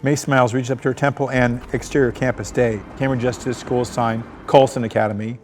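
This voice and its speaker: A man's voice: mid-range voice, flat delivery, brisk. Man reading screenplay directions over music. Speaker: stage directions reader at a script table read. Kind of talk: scripted narration of screenplay action.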